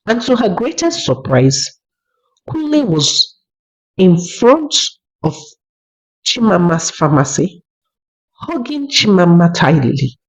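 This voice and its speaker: A narrator telling a scripted folktale in a measured way, as a storyteller. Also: medium pitch, slightly rough. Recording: dull lo-fi recording.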